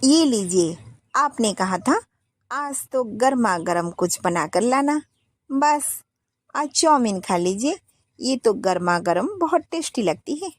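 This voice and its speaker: A cheeky young daughter-in-law, eager and coaxing, with a plan for every chore, as a woman storyteller puts her on. Her voice sits high and moves slowly, recorded close and dry. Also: expressive, no echo.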